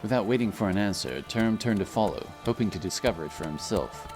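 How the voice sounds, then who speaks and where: A man with a low voice narrates a fantasy adventure. The delivery is scripted, dramatic storytelling, set against prominent music. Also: lively intonation, a little fast.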